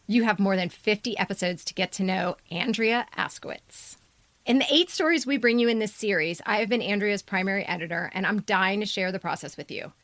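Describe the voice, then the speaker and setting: A woman's voice: medium pitch, a little fast, a bit bright, no room sound. A woman co-hosting a podcast, delivering a scripted introduction to the show. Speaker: podcast co-host and editor.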